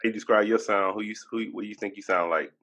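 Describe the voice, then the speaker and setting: A man interviewing a singer in a relaxed, slangy, conversational way. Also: on the low side, fast talker. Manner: laid-back, slangy, conversational. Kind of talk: casual interview.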